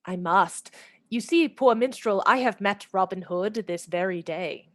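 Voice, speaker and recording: A woman's voice: medium pitch, a little slow, dry recording, clean studio sound, natural voice. A woman playing a proud, witty noblewoman who mocks an outlaw with arch, theatrical scorn in a radio play. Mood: mocking. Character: proud witty noblewoman Marian, mocking and spirited.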